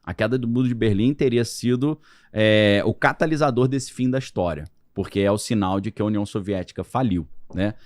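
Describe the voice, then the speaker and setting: A man's voice: fairly low pitch, a little fast, a little mellow, no room sound, clean studio sound. A man talking as a podcast guest, explaining history in a casual, loose way with plenty of slang and fillers.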